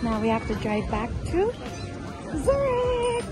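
A woman's voice: extremely high pitch, wildly swooping pitch, unhurried. A Filipino woman vlogging at a night Christmas market, exclaiming and narrating to a handheld camera as she tries the food, mixing Tagalog and English. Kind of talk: casual travel vlog, mixing Tagalog and English. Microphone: handheld camera at arm's length. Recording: outdoor crowd and music behind. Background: music and a busy crowd.

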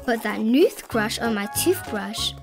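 Female read-aloud narrator for children's rhyming books, high voice, playful and very lively, music underneath. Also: measured pace. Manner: expressive, playful delivery. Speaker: children's picture book reader.

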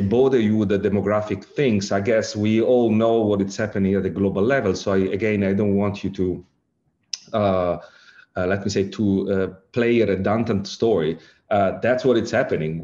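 Italian guy lecturing over a video call, kinda low voice, lots of uhs, muffled lo-fi audio. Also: a little mellow. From Italy. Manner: halting, many fillers and rephrasings. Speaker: lecturer, director of an ageing innovation centre. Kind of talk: online lecture with slides.

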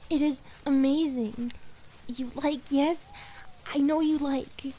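A woman plays a feral forest spirit girlfriend, high and mellow. She is loving and possessive, speaking in slow, broken English that often slips into whispers. It is an ASMR roleplay with clear ambient noise around her. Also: fairly even intonation. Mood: gently possessive.

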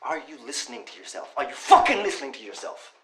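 An actor playing a blunt, excitable male best friend who swears and stammers. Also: mid-range voice, brisk, reverberant, a crowd in the background, lightly acted. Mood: incredulous. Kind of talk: indie drama acting.

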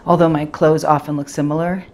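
A woman's voice: deep voice, flat delivery, fairly quick, a little mellow, voice pushed down, natural voice. A woman performing a dramatic monologue as an anxious, self-critical professional in her late twenties, confessional and fretful, addressing the audience directly. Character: anxious, self-critical 28-year-old professional woman, obsessive about appearance.

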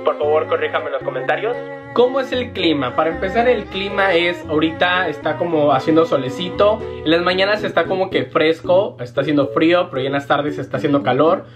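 A man's voice: fairly high pitch, music in the background. A young man from Jalisco with a Mexican accent, chatting casually and animatedly to camera from his bedroom, using slang and lots of gestures. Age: young adult. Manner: animated, lots of hand gestures, slangy, puts on quick voices for quoted people. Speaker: vlogger. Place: small bedroom, indoors. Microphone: fixed camera at desk.

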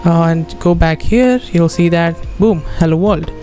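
A man's voice: fairly high pitch, measured pace, warm tone, faint music behind. A man in his twenties explaining steps in a casual coding tutorial, informally checking in with the listener as he goes. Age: 20s. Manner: informal, often tagging statements with "right?". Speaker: tutorial host. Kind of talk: casual spoken screen-recorded coding tutorial. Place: indoor room at a computer.

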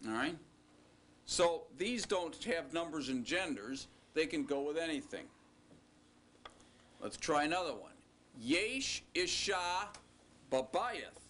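A man teaching a recorded language lesson, explaining slowly and deliberately with joking asides. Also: on the high side, sing-song, fairly bright tone, a little hiss or hum. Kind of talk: recorded classroom lecture.

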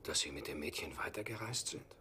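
A probing, low-voiced skeptic. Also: male voice, lively intonation, fairly quick, pushed and bright, slight background noise, full character voice. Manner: probing, faintly sarcastic. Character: skeptical male questioner, worldly and probing. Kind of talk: dubbed film dialogue.

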